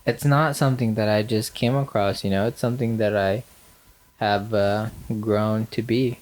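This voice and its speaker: A man's voice: fairly low pitch, steady tone, normal speed, a little mellow. A man with a nasal voice co-hosting a podcast, chatting casually with lots of fillers and dry sarcasm. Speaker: podcast co-host. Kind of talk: casual chat.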